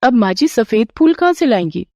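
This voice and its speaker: Casting: young daughter-in-law for a cartoon storybook, played by a woman, respectful, wide-eyed, very lively intonation, dry studio sound. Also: a little high, a little fast, no room sound. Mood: amazed, puzzled. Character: polite, easily amazed young daughter-in-law in a Hindi tale.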